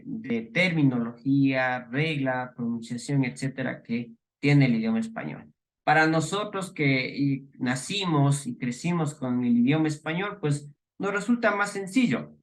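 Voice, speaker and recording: A middle-aged man from the Ecuadorian highlands with that accent, giving a class lecture with many fillers, restarts and rhetorical questions. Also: measured pace. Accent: Ecuadorian highland Spanish. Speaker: teacher.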